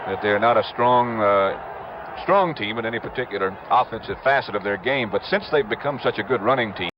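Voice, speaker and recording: A man gives football commentary in a slightly low voice. The archive broadcast sounds dull and has clear background noise. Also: moderate pace. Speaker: sports commentator.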